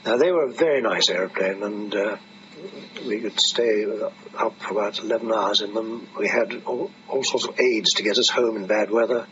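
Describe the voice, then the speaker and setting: An older man reminisces in an interview, pausing and restarting as he recalls. His voice is fairly low, and the dull archive recording carries clear background noise. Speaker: air force veteran interviewee. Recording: noisy, muffled archive interview tape.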